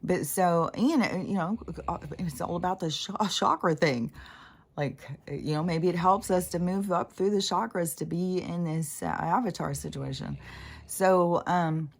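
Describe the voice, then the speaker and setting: Lady with a real low scratchy voice just rambling on her channel, loses her train of thought, cusses a lot. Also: unhurried, vocal fry. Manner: loose and candid, drifts off mid-thought, swears freely. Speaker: spiritual channeler hosting her own channel. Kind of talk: rambling casual monologue.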